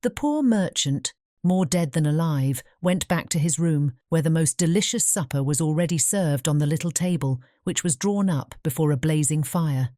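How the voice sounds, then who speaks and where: Low, mellow woman narrating a fairy tale. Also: normal speed, few pauses, no room sound, studio quality. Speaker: audiobook narrator.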